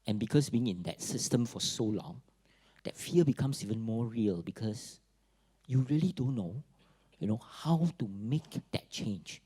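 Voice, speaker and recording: A man with a Singaporean accent giving a public talk at a forum, pausing often with fillers and restarts. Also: medium pitch, animated, mellow, slightly rough. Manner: halting, many fillers and restarts. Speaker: forum speaker.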